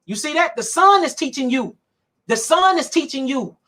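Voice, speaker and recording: A man's voice: very high voice, lively intonation, moderate pace, bright, forceful tone. A man giving an emphatic, preachy monologue about health, speaking colloquially, repeating his points and laughing often.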